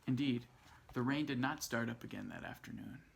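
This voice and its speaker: A man narrates a children's tale in an expressive read-aloud style. His voice sits at a middling pitch with noticeable fry in it. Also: fairly quick. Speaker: storybook reader.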